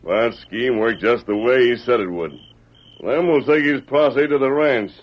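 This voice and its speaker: Casting: male actor as commanding masked cowboy hero, medium pitch, formal diction, muffled vintage radio. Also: unhurried, close to an everyday voice. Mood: calm, confident. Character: masked male western hero, firm, articulate, righteous. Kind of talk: 1940s radio western acting. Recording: muffled old radio recording.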